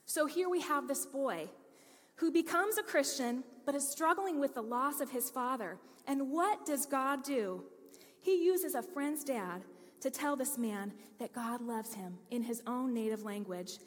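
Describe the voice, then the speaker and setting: Woman pastor, high pitched, earnest emphatic sermon delivery, reverberant sanctuary sound. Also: a little slow. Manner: earnest storytelling preacher, lively emphasis. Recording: long hall reverb.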